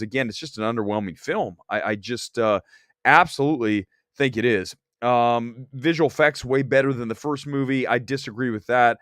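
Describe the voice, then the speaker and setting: A man's voice: medium pitch, dry recording, studio quality. A man with a slight cold hosting a movie talk show, giving emphatic, conversational opinions and reading out viewer comments.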